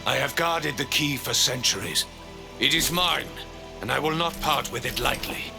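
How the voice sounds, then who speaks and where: Man playing a gravelly, grandiose, zealous archangel. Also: mid-range voice, moderate pace, music in the background, natural voice. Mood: fervent, defiant. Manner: grandiose, declamatory. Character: zealous, proud, accusing male archon angel. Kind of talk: dramatic game cutscene acting.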